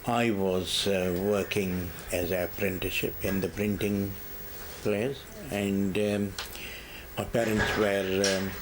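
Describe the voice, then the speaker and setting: An older retired man, born in India, answering oral history questions in a halting way with many pauses and fillers. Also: deep voice, flat delivery, background noise. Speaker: interviewee.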